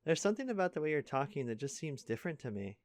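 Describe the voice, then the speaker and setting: A man playing an earnest, caring high school senior in an intimate audio roleplay, speaking casually and haltingly to a best friend. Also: very animated, no room sound, studio quality. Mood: worried, confused. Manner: casual, halting, stammering, conversational.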